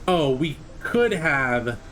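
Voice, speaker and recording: A man's voice: fairly high pitch, sing-song, drawn-out words, a little hiss or hum, poor audio. A man giving live speedrun commentary in a casual, self-critical way as he plays. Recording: commentary over loud game music. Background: game music.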